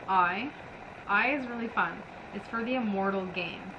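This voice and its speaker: A woman's voice: measured pace, lo-fi sound. A woman reading from a book expressively, as a book reviewer acting out the dialogue. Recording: noisy, rumbling background ambience.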